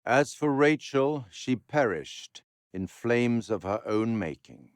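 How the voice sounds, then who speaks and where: An actor playing an urbane, sardonic male curator in a video game, narrating others' fates with formal, wry, theatrical delivery and dramatic pauses. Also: mid-range voice, animated, no room sound. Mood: mournful.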